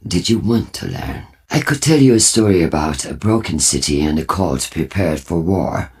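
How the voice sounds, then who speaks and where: A prophetic leader of a futuristic war cult in a video game. The voice is low and husky, swinging widely in pitch. The delivery is formal, deliberate and full of portent. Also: male voice, expressive, heavily acted. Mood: solemn. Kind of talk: scripted video game character dialogue.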